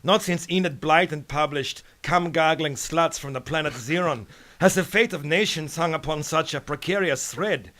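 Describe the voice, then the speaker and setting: A man's voice: fairly high pitch, a bit bright, natural voice. A man playing a pompous, bombastic United Nations leader who blusters and cuts others off in a satirical radio serial. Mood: grandiose. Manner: grandiose, blustering, cutting others off.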